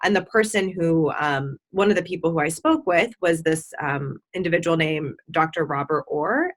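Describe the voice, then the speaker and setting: A young woman on a video call, answering interview questions in a chatty, relaxed way, with frequent ums and likes. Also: sits low. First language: Farsi. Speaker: guest.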